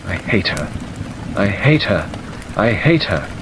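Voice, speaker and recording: A bitter, jealous young woman in mourning , voiced by a male audiobook reader with a lowish voice. The delivery is emphatic, with phrases repeated for weight. Faint rain sounds sit behind the speech. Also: takes it slowly. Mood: bitter hatred. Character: bitter, grieving, jealous young woman recalling her lover's death. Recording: steady rain noise over the voice. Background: rain ambience.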